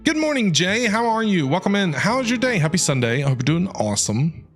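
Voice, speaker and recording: A man's voice: sits high, sing-song, fairly quick, a bit bright, faint music behind. A man doing casual live gameplay commentary as a streamer, playful and chatty, reacting in short remarks. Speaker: game streamer.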